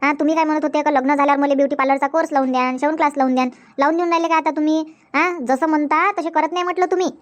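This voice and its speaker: A woman's voice: high voice, fairly even intonation, racing, few pauses, dry recording, lightly acted. A woman voicing a chatty young newlywed wife in a comedy cartoon, who nags and repeats herself. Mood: pleading. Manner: repetitive, nagging, talks in a rush. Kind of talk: Marathi comedy cartoon dub.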